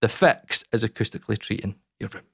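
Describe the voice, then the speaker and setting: Scottish male, 30s, deep boomy tone, relaxed talky explainer delivery, very dry close-miked home studio recording. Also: quick pace, vocal fry, breathy edge, no room sound. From Scotland. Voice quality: dark, boomy voice. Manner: chatty, lots of fillers, talks with his hands. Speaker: YouTube audio educator. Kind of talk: casual explainer talk to camera. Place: small home studio, indoors. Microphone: large dynamic mic close in front.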